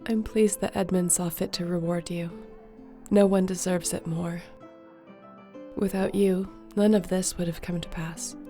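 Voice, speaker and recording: A woman voicing a court sorceress in a scripted audio roleplay, speaking gently and conversationally. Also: lowish voice, steady tone, a little slow, faint music behind. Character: warm, loyal female court sorceress.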